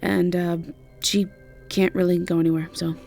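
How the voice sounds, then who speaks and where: A woman voicing a brisk, chatty, easily irritated witch innkeeper in an audio drama, speaking casually with hesitations and swearing. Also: lowish voice, flat delivery, creaky, dark tone, faint music behind. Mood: chatty, friendly. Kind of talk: audio drama character acting.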